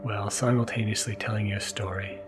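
Low-voiced man over soft music. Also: fairly even intonation, fast talker. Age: adult, new father. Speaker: podcast host. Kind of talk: casual, intimate monologue to listeners. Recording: mellow music underneath. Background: soft mellow music.

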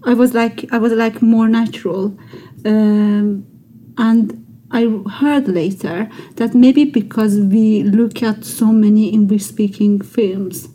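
A woman chatting casually and thoughtfully, pausing to search for words. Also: mid-range voice, steady tone, warm tone, slight background noise, low-quality recording. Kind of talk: casual chat.

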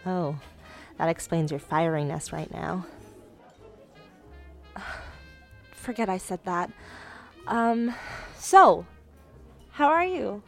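A woman's voice: on the low side, expressive, takes it slowly, vocal fry, long pauses, fairly bright tone, soft background music, heavily acted. A woman playing an effusive, apologetic young student on a date in an audio drama, repeating her words for emphasis. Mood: awkward, conciliatory.

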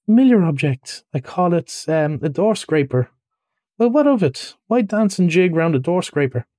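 Storybook mole, played by a male reader: high, soft-edged voice, grumbling and self-pitying, pettish and stubbornly literal. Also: very animated, normal speed, no echo, clean studio sound. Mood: unimpressed. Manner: plaintive, huffy complaining.

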